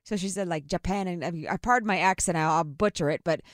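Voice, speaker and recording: A woman co-hosting a morning radio show, telling a self-mocking story with animated delivery. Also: fairly low pitch, quick pace, no room sound, clean studio sound. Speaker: radio co-host. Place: radio studio.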